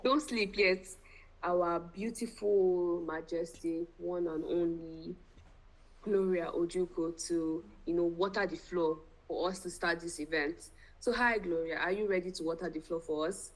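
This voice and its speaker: Low-toned effusive Nigerian woman hosting. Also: steady tone, unhurried, low-quality recording. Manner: effusive, playful, grandiose. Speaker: event host. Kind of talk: live virtual event hosting.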